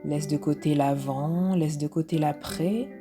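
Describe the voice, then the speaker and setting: A woman instructor leading a guided relaxation meditation in a soft, soothing, unhurried way with pauses, with music behind her. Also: low-pitched. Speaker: yoga nidra instructor.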